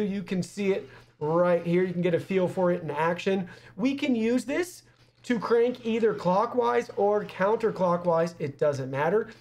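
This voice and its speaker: A man's voice: sits high, a little mellow. A young man giving an upbeat, step-by-step product review to camera in an indoor studio. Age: young adult. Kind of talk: scripted-sounding product review presentation to camera.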